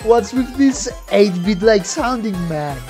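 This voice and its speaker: A man's voice: high voice, expressive, a little slow, darkish tone. A man in his thirties giving casual reaction commentary as a musician. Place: small home music room. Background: game trailer music.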